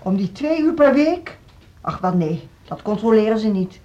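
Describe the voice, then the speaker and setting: Bossy defensive school director, radio drama, husky voice, kinda dull muffled recording. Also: female voice, mid-range voice, sing-song, mellow. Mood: dismissive. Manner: brisk, dismissive, formal. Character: imperious, defensive female music school director. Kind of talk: Dutch social radio drama acting.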